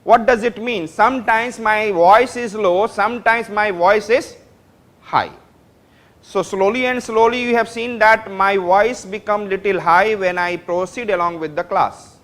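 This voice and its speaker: A man with an Indian English accent teaches a class, recorded in a classroom. His voice sits high, and he repeats phrases as he builds each point, stopping often to ask whether his students understand. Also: measured pace, a bit bright. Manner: repetitive, explains step by step, checks understanding. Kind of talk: classroom lecture.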